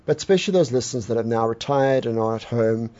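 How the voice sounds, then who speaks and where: Male radio host, mellow warm tone, rambling questions, muffled sound. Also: medium pitch, expressive, fast talker. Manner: addresses listeners, long rambling questions. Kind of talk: radio interview.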